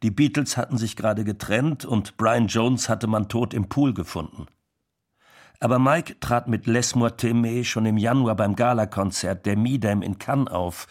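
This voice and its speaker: Radio play narrator: man playing a reminiscing music manager, lowish register, factual delivery. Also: moderate pace, lightly acted. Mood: matter-of-fact. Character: male music manager reminiscing about a singer's rise.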